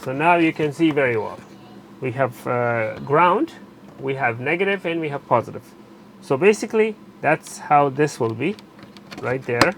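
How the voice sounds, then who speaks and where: A man narrating a hands-on repair tutorial while he works, pausing and correcting himself as he goes. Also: mid-range voice, expressive, a bit bright, slight background noise. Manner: unscripted, pauses and self-corrects while working. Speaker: electronics repair instructor.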